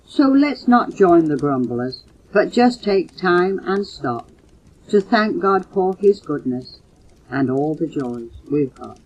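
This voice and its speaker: Older female poetry reader, low pitch, unhurried earnest delivery, dull lo-fi home tape sound. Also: very animated, darkish tone. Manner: measured, earnest recitation, announcing titles and authors. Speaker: mother reading poems for her children. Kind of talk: recited poetry reading. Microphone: home cassette recorder. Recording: muffled old home audio cassette.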